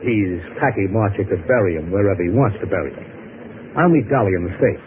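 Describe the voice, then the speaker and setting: A man's voice: fairly low pitch, expressive, a little fast, phone-quality sound, close to an everyday voice. An actor playing a menacing, sardonic gunman in 1950s radio drama, talking with mocking politeness. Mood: sardonic menace. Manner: smooth-talking, mocking, threatening. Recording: noisy, band-limited vintage radio recording.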